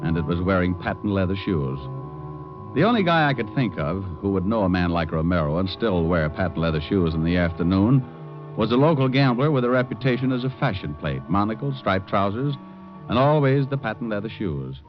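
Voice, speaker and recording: An actor playing a tough, wisecracking American private detective in an old radio noir drama, wry and menacing. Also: male voice, sits low, expressive, fairly quick. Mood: wry narrating. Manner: wry, hardboiled. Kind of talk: 1940s-50s radio acting, hardboiled noir narration. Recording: muffled old-time radio recording with music.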